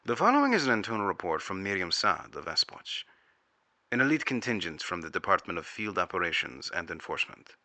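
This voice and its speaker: A man's voice: deep voice, very animated, fairly quick, bright, forceful tone, no echo, heavily acted. A man playing a formal, probing intelligence agent who takes testimony in an audio drama. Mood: formal, courteous. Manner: formal, inquisitive. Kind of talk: audio drama interrogation dialogue.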